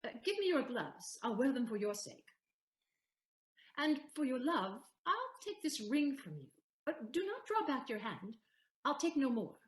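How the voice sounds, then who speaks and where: A woman acting a shrewd, poised young lawyer in a Shakespearean audio play, speaking formally and deliberately with a teasing edge. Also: high-pitched, sing-song, echoey room. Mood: teasing, insistent. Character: shrewd, poised Portia disguised as a male lawyer.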